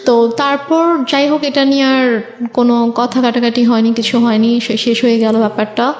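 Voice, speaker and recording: A woman's voice: a little high, steady tone, normal speed, darkish tone. A woman with a Bangladeshi accent telling her own life story as a guest, conversationally, quoting what people said. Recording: echoey, reverberant room.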